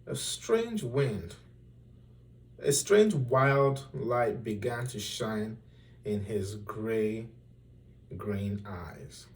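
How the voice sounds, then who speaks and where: Slow, theatrical man reading a storybook. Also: on the low side, distant mic. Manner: expressive, theatrical, deliberate. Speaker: storybook reader. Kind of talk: dramatic children's story read-aloud.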